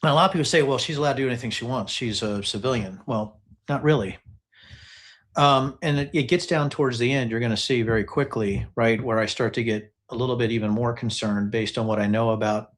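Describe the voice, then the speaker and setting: A man's voice: medium pitch, brisk. A man hosting a casual live political discussion, talking emphatically and often repeating phrases to drive his point. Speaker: host commentator analyzing political text messages.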